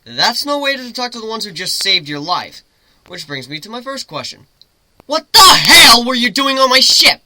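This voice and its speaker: Guy playing cocky sarcastic griffin guy in a story reading, sharp forceful voice, jumps around in pitch. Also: sing-song, normal speed, a little hiss or hum, heavily acted, low-quality recording. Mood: demanding. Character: brash young male griffin adventurer, sarcastic leader.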